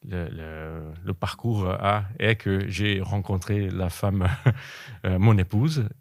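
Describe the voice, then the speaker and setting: Man, very deep voice, halting, repeats words, dry close sound. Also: darkish tone, no room sound. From Brazil. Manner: halting, repeats words while searching for them. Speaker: podcast guest. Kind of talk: casual interview conversation.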